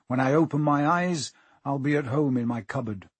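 Man voicing an earnest, husky young boy. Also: mid-range voice, moderate pace, dry recording, lightly acted. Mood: resigned. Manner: questioning, hesitant.

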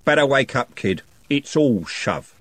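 A hard gang leader hisses his threats in a low, husky voice, as a man puts him on. The delivery is dry and close. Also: very animated, measured pace, dry recording. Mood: menacing. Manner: threatening, hissing.

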